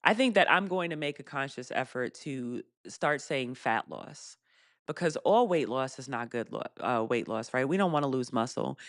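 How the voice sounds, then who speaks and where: A woman with a fairly deep voice hosting a casual live stream Q&A. She laughs often and reads viewer comments aloud. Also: no room sound, clean studio sound. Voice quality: deeper voice for a woman.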